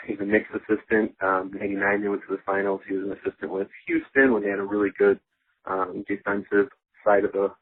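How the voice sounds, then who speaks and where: Husky low-voiced man on a phone line. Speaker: sports show caller. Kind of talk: casual rambling voicemail commentary.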